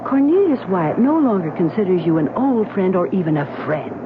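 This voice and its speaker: An actress playing a sharp, suspicious wife on a sea voyage in a 1970s radio drama, wry and teasing with her husband. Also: lowish voice, very animated, moderate pace. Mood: insistent. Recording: tinny old radio broadcast, hiss.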